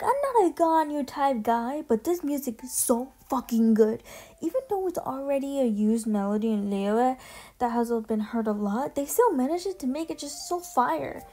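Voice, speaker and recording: A young woman with a fairly high but very soft-edged, mellow voice gives casual commentary. She talks in an informal, slangy way and leans on her opinions with emphasis. Music plays under her voice. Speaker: video creator ranking game trailers.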